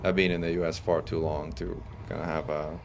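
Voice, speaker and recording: Deep-voiced man with faint Italian accent. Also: fairly quick. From Italy. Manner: relaxed, chatty, reads chat aloud. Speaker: streamer. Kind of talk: casual gameplay stream chat. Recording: steady background noise.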